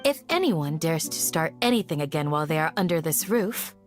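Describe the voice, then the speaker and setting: A woman playing a gracious but firm young village guardian in a video game, speaking politely and formally in a measured way. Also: deep voice, very animated, soft background music.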